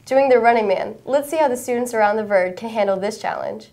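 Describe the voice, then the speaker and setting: A woman's voice: fairly high pitch, fast talker, a little mellow. Playful teenage girl news co-host. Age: teens. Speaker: student news show host. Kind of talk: scripted student news hosting.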